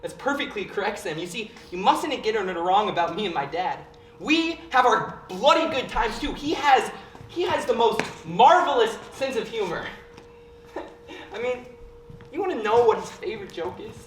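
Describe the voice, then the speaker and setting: A woman playing an arrogant, petulant teenage boy on stage, sneering and flaring into shouts. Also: a little high, very animated, normal speed. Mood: mocking. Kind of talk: stage play acting. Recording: roomy stage recording from a distance, faint hiss.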